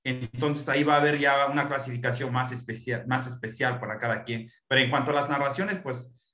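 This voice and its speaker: A man's voice: mid-range voice, steady tone, fairly quick, sharp tone. Earnest man on an echoey video call. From Mexico. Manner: thoughtful, earnest, frequent fillers and tag questions. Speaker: lecturer answering questions. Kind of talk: online lecture Q&A. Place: small room at home, window behind. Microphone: laptop webcam video call.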